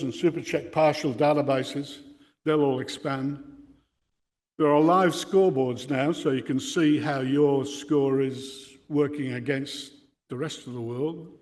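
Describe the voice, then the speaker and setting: An older man giving an informal explanatory talk, reflective and halting in his phrasing. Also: a little high, a little mellow. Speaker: presenter giving a talk on radio contesting. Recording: reverberant hall sound.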